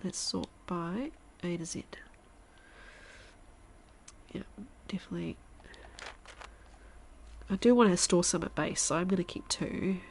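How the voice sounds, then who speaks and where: A woman in her thirties, a stuffy-nosed streamer chatting casually while she plays, thinking aloud and now and then dropping to a quiet whisper. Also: fairly low pitch, unhurried, gravelly, long pauses, slight background noise. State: congested, stuffy nose. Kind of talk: casual live-stream chat while gaming.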